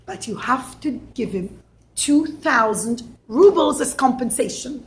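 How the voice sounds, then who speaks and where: A woman voicing a wise elderly rabbi who speaks in a calm, reassuring way. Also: sits high, a little slow, reverberant.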